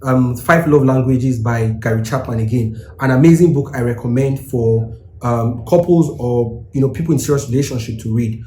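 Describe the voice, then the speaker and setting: A man's voice: medium pitch, normal speed, dark tone, echoey room, a little hiss or hum. A man from Nigeria, co-hosting and giving earnest, instructive advice to couples. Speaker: co-host. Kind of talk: advice talk.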